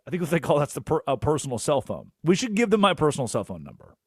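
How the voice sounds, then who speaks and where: A young man hosting a sports radio show, animated and jokey, rambling through asides in a studio. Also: a little high, quick pace, breathy edge, no echo. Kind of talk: energetic sports talk radio. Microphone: studio microphone.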